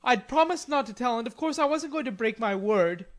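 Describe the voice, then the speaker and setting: Stubborn, hot-tempered teenage lad, played by a female reader; storybook dialogue, emphatic, higher-pitched delivery. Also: animated, a little fast, natural voice. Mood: indignant. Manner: emphatic, protesting. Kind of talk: audiobook character reading.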